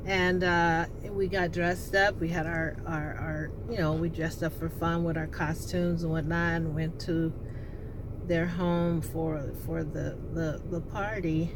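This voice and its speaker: A woman's voice: low-pitched, unhurried. A woman with an alto voice, telling a personal story in a rambling, casual way, with many restarts and fillers. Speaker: vlogger. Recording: steady background noise with passing vehicle sounds.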